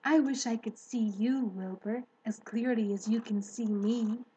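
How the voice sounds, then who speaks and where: A genteel lady spider from a children's storybook, read by a woman, slightly high and slow in speech. She is kindly and sure of herself, choosing her words with calm, articulate care. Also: breathy edge, rough recording. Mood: calm, candid. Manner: composed, articulate, gently matter-of-fact. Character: kindly, self-assured, genteel female grey spider.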